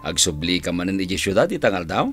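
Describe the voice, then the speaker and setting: A man's voice: low-pitched, sing-song, quick pace, loud music behind the voice. A man playing a friendly, chatty older cousin in a radio drama, with an Ilocano accent.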